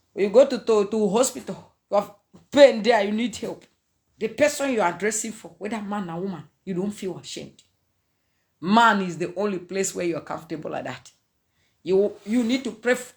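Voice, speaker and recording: A woman's voice: mid-range voice, brisk. Laughing Zambian woman preaching to camera. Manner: animated, laughing often, mixing pidgin phrases. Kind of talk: casual preachy monologue to camera. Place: small indoor room, seated on a sofa. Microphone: phone camera at arm's length.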